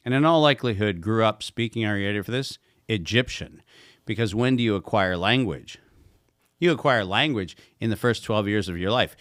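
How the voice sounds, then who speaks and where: A man's voice: on the low side, a bit bright, no echo, studio quality. A man speaking as a guest expert in a podcast conversation, talking emphatically and posing rhetorical questions.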